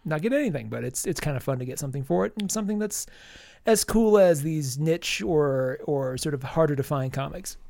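A man's voice: a little high, a little fast, vocal fry, darkish tone, dry recording. A man co-hosting a casual comics podcast, stuffy-nosed from allergies, talking in enthusiastic, rambling bursts. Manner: rambling, enthusiastic, many restarts. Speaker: podcast co-host reviewing comics.

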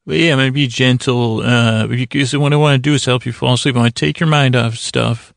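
A man hosting a sleep podcast in a gentle, soothing way, with halting, fumbling, meandering monologue that keeps interrupting itself. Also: mid-range voice, steady tone, creaky, no echo, clean studio sound. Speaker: podcast host. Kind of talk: rambling bedtime monologue to lull listeners to sleep.

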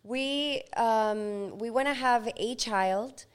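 A woman's voice: on the high side, clear, resonant tone. Reflective woman in her thirties. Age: 30s. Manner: reflective, slow and thoughtful at times. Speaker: podcast guest. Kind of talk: casual interview chat.

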